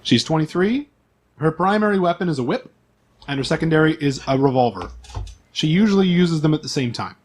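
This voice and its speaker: Guy game master, slightly high voice, going down a character list with casual asides. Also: animated, brisk, slight background noise. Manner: informal, list-like delivery with asides. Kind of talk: reading out character profiles for a tabletop game.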